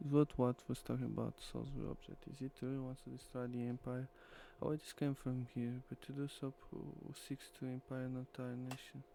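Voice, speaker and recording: A man reads story narration aloud in a gravelly, mid-pitched voice. His delivery is halting and stumbles over words, like an amateur storyteller. There is faint noise behind him. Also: little pitch movement, mellow, rough recording. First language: Portuguese. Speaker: streamer reading a visual novel aloud. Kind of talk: amateur dramatic read-aloud of visual novel narration.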